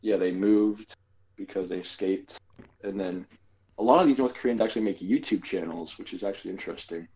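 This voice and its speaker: A man's voice: sits low, brisk, darkish tone, poor audio. A man co-hosting a podcast, talking casually in a rambling way with lots of fillers and hedges. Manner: rambling, filler-heavy, hedging. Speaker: podcast co-host. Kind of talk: casual chat.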